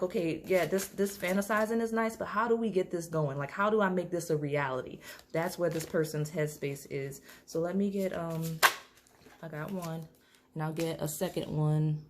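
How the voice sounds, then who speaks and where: A woman, slightly hoarse, chatting casually through a tarot reading to camera, with lots of fillers and restarts. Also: sits low, noisy background. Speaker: tarot reader.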